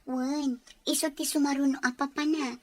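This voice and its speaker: Casting: actress as generous married homemaker, high-pitched, chatty, Ilocano accent, audio family drama. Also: female voice, steady tone, normal speed, close to an everyday voice. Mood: casual, agreeable. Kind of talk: acted family radio-style drama dialogue.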